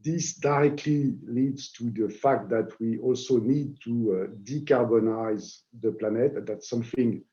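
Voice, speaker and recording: A man with a French accent giving a webinar presentation, pausing often with "uh" between words. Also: mid-range voice, mellow. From France. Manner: halting, with frequent "uh" fillers. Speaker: guest presenter. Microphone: video call. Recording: dull lo-fi online call audio.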